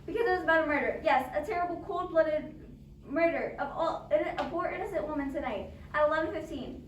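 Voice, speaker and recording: A woman playing a nervous, imperious, demanding invalid wife on the telephone in a radio play, agitated and halting. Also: high-pitched, close to an everyday voice. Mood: urgent. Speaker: amateur drama club actor. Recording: echoey, distant, noisy amateur recording.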